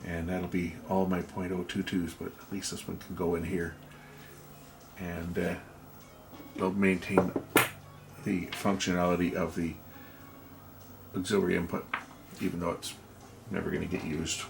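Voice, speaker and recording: Low, gravelly man muttering at work. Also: level tone, music in the background. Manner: halting, muttering to himself while working. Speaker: electronics repair vlogger. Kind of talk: casual hands-on repair commentary. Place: indoor workbench.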